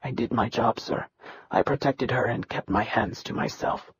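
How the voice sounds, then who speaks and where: A woman voicing a terrified, beaten young male hybrid servant who speaks in a quivering, breathy hush. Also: medium pitch, normal speed, voice pushed down. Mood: pleading. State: in pain and panic.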